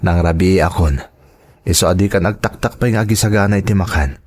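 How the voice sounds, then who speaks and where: A man as a low-voiced, hesitant father. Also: brisk, a little mellow. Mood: apologetic, evasive. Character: hesitant, evasive father of a young daughter. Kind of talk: Ilocano audio drama acting.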